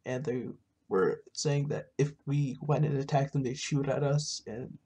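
A gamer playing a weary, hesitant adventurer in a video game roleplay, speaking haltingly. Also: medium pitch, warm tone, a little hiss or hum, lightly acted. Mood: uneasy. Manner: slow, halting.

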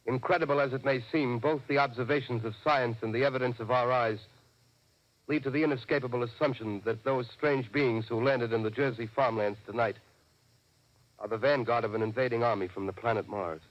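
Male actor as emergency news announcer, formal, grave, medium pitch, 1930s radio play, muffled archival sound. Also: fairly even intonation, a little fast, close to an everyday voice. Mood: grave, urgent. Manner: formal, serious delivery. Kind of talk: 1930s radio drama newsreading. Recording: dull vintage 1938 radio recording.

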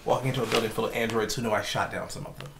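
A man's voice: fairly low pitch, level tone, rapid-fire, gravelly, fairly bright tone, slight background noise. A man acting an uneasy, guilt-ridden police officer in a scripted drama read, hesitant and plain-spoken. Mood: uneasy, guarded. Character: uneasy, guilt-ridden male cop, nine years serving. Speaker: actor reading a police officer at a table read.

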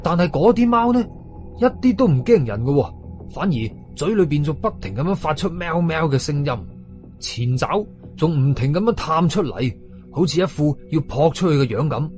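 A man's voice: fairly high pitch, lively intonation, moderate pace, a little mellow, soft background music. A man with a Hong Kong Cantonese accent telling a horror story in a suspenseful, colloquial, dramatic style. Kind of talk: dramatic scripted horror narration.

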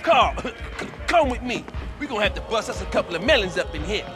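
An actor playing a boastful, fast-talking pimp in a video game, with a showy, slangy, melodramatic manner. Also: male voice, high voice, sing-song, over music. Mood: placating, cocky. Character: flamboyant, boastful, fast-talking male pimp and club boss. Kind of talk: video game cutscene acting.

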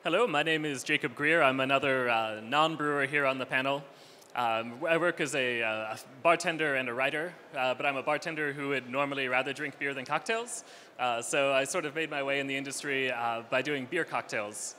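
Bright-voiced man, relaxed panelist. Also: medium pitch, fairly quick, a little hiss or hum. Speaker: panelist, bartender and writer. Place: stage in a large hall. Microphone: handheld mic.

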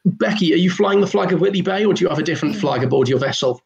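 A man with a slightly high, gravelly and husky voice, hosting a casual comedy chat over a video call. He trades wry quips at home in front of bookshelves. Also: animated, muffled recording. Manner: wry, quick quips, frequent laughs. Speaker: host.

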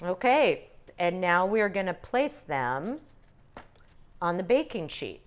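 Older lady walking through a recipe in a kitchen, calm and steady, clip mic. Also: fairly low pitch, takes it slowly. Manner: calm step-by-step instruction. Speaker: cooking instructor. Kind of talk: cooking demonstration. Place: home-style teaching kitchen.

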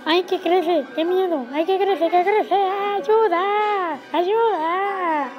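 A child of about ten playing a frightened cameraman in a homemade cartoon, excitable and repetitive, with exclamations. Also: extremely high pitch, drawn-out words, lo-fi sound, heavily acted. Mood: panicked. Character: frightened on-the-scene cameraman fleeing giant monsters. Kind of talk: improvised children's cartoon voice-over. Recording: loud music over the voice.

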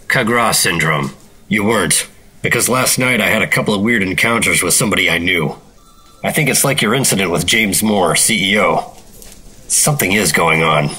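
Man playing a deep-voiced 1950s G-man, clipped and wary. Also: normal speed, bright, forceful tone, slightly rough, full character voice, rough recording. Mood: wary, dry. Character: 1950s male government agent, hard-boiled, dry and wary. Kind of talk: 1950s radio drama narration and dialogue. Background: dramatic music.

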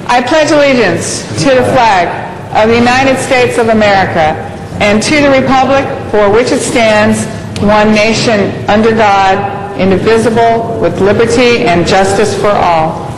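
A woman council member slowly leading a recitation in a large chamber, heard from some distance. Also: on the high side, background noise. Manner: slow, measured recitation. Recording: echoey chamber heard from afar.

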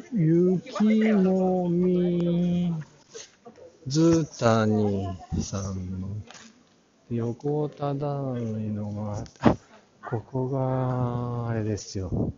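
A man gives a casual walking tour, talking half to himself in short fragments. His pace is unhurried and his voice slightly muffled. The sound is dull, picked up at arm's length in a big hall with clear crowd murmur behind him. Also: medium pitch, expressive. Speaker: vlog host touring an art fair. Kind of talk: casual walk-through narration with muttered asides. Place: large crowded indoor exhibition hall. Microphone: handheld camera at arm's length.

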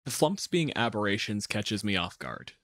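A man's voice: lowish voice, very animated, moderate pace, bright, forceful tone, no echo, clean studio sound. A man chatting casually as a podcast panelist, analytical and opinionated in the way he talks. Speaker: podcast panelist discussing tabletop game monsters. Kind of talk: casual chat.

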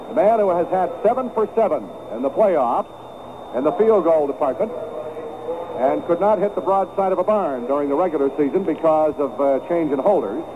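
A man doing folksy, partisan live radio play-by-play of a football game with vivid calls. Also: sits high. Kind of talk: live sports play-by-play commentary. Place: stadium broadcast booth. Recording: noisy 1970s AM radio broadcast, narrow band. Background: loud stadium noise.

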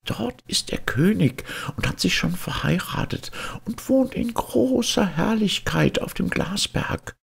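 A man's voice: high voice, animated, measured pace, gravelly, slightly rough, whispered, pitched above the performer's own voice, exaggerated voice. A man voicing a kindly, worried old woman in a storybook fairy tale. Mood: gentle guiding.

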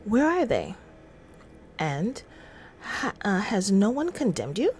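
A woman's voice: mid-range voice, wildly swooping pitch, slow pace, darkish tone. A middle-aged woman hosting a casual devotional livestream, reading aloud and adding informal, chatty asides. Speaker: livestream host. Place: small indoor room at home.

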